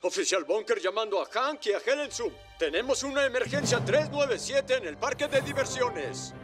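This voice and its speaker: Officious, very high-voiced police motorcycle. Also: male voice, lively intonation, moderate pace. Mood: urgent. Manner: formal radio-call delivery. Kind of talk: animated children's cartoon dub. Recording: music behind.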